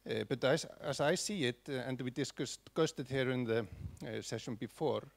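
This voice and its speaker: Halting man with a gravelly voice. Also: mid-range voice. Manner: halting, many restarts and fillers. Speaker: conference audience member. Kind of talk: spontaneous comment from the floor.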